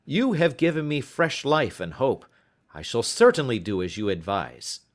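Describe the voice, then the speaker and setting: A man voicing a troubled, courteous young Victorian gentleman in an audiobook, earnest and measured. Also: mid-range voice, big pitch swings, pitched above the performer's own voice, close to an everyday voice. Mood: grateful. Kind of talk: audiobook character voice.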